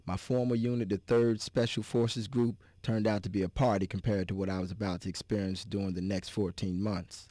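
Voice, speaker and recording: A man's voice: lowish voice, flat delivery, brisk, hardly any pauses, warm tone, no echo, close to an everyday voice. A man giving a dramatic reading as a wry, scarred army veteran. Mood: wry, reflective. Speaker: reader.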